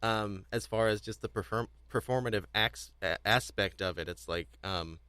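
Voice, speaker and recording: A man's voice: lowish voice, normal speed, pushed and bright, clear, resonant tone, no echo. A man co-hosting a casual podcast discussion, talking in a rambling, self-repeating way with a readiness to chuckle. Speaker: podcast co-host.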